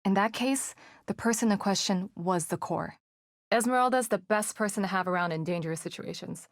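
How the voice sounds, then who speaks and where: A woman's voice: medium pitch, no room sound, clean studio sound, close to an everyday voice. Actress as composed, earnest woman psychologist in a game. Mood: composed, serious. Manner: measured, analytical, articulate. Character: composed, earnest female team psychologist under threat. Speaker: video game voice actor. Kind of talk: video game dialogue.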